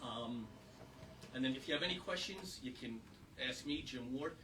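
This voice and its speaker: A man's voice: mid-range voice, expressive, moderate pace, pushed and bright. A man speaking earnestly to a small audience as a volunteer, with rambling fillers. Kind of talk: informal talk to an audience. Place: small historic house meeting room. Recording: distant mic with background noise.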